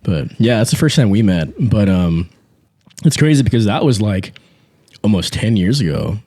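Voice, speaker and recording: A man's voice: lowish voice, moderate pace, no echo, clean studio sound. A man in his twenties co-hosting a casual faith podcast, talking easily with fillers and restarts. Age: 20s. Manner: relaxed, frequent fillers and restarts, laughs easily. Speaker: podcast co-host. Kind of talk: casual chat. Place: small home podcast setup. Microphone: studio podcast mic.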